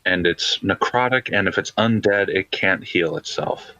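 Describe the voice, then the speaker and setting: A man's voice: low voice, unhurried, pushed and bright. A man playing a spellcaster in a tabletop game, hesitating with fillers as he checks his spells. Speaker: player. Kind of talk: casual tabletop game chat. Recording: dull lo-fi recording.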